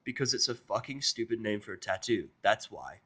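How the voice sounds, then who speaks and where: A man's voice: on the low side, expressive, a little fast, pushed and bright. A man playing a sarcastic, irritable human with a dry, stubborn manner and plenty of swearing, in a fan fiction audio drama. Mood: stubborn, flat.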